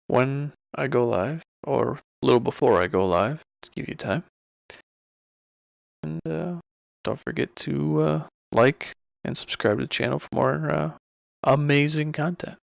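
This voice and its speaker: Male tutorial host, offhand and informal, heavy vocal fry, close dry sound. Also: medium pitch, unhurried, dry recording. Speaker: streamer giving a software tutorial. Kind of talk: casual screen-recorded tutorial.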